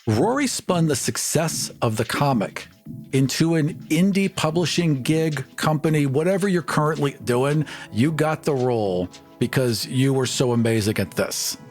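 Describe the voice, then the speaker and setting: A man's voice: a little high, moderate pace, a little mellow, soft background music. A man in his forties casually questioning players as game master of a tabletop role-playing game. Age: 40s.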